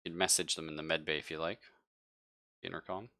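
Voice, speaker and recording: A man improvising narration as game master of a tabletop game, telling it haltingly and with suspense. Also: very deep voice, flat delivery, creaky, bright, forceful tone.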